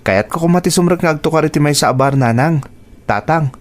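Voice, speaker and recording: A man's voice: fairly high pitch, lively intonation, fast, close to an everyday voice. A man playing a respectful, earnest young son with musical ambitions in a radio drama. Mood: earnest, hopeful. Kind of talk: Ilocano radio drama acting.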